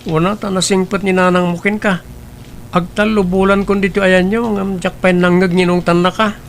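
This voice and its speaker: An actor playing a polite, wistful young student in an audio drama, with a plaintive way of talking. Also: male voice, high voice, flat delivery, normal speed, a little hiss or hum. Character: wistful, polite teenage student nephew living with his aunt. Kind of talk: Ilocano audio drama acting.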